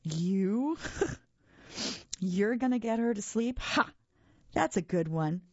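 Woman acting a gruff growling monster guy. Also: medium pitch, expressive, takes it slowly, no echo, lo-fi sound, pitched above the performer's own voice. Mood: mocking. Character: gruff, boastful male monster, grumbling and menacing.

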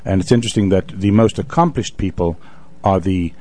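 A man's voice: deep voice, fairly quick, warm tone. A man hosting a radio show in a conversational style, speaking as a stage performer would between pieces.

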